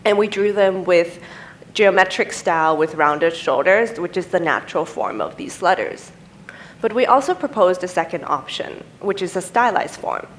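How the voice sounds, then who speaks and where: Young woman, native Thai speaker, conference presenter; lowish voice, calm and explanatory, podium mic with faint room hum. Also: poor audio. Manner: measured, explanatory, steady delivery. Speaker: type designer giving a conference talk. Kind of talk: presenting slides at a design symposium. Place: conference stage, indoors.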